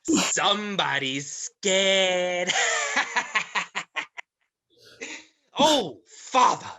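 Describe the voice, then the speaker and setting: A performer plays an ominous, mocking demon for a stage play. The voice is bright and swings widely in pitch. It draws out its mock-polite taunts at a leisurely, theatrical pace. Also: very animated, drawn-out words, slight background noise, exaggerated voice. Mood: taunting. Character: smooth, menacing, theatrical demon Mister Woozle, mocking and ominous. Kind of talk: livestreamed stage play reading.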